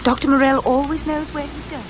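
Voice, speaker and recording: An English actress playing a timid, fretful, admiring secretary to a detective in a 1950s radio drama. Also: female voice, high-pitched, little pitch movement, fast talker, a little hiss or hum, lightly acted. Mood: anxious, curious. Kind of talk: 1950s British radio acting. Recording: 1950s radio recording with music behind.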